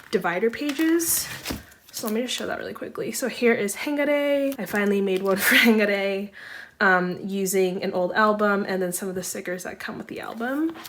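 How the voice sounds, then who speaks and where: A woman's voice: mid-range voice, a little hiss or hum. A young woman in casual chat while sorting photocards, chatty and rambling, with a slightly congested voice. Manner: chatty, rambling, often says um and like. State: slightly congested, recovering from laryngitis. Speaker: photocard collecting vlogger.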